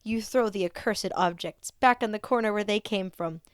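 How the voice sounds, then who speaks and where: A woman's voice: mid-range voice, normal speed, clear, resonant tone, no room sound. Woman narrating fiction, close and dry. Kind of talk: expressive scripted fan fiction narration.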